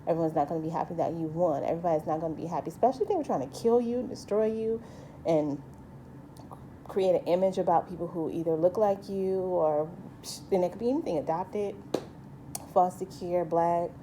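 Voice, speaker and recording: A woman's voice: on the low side, brisk, dark tone, a little hiss or hum. Earnest rambling woman, light voice. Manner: rambling, earnest, many restarts and "you know". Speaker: vlogger. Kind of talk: personal monologue to camera.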